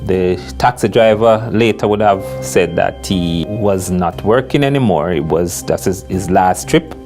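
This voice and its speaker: A man giving a measured account in an interview. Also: low-pitched, darkish tone. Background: background music.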